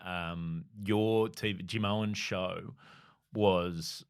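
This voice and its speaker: A man's voice: sits low, steady tone, extremely slow, clear, resonant tone. A man in his thirties hosting a comedy podcast, asking curious, chatty questions. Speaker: podcast host. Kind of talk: casual interview chat.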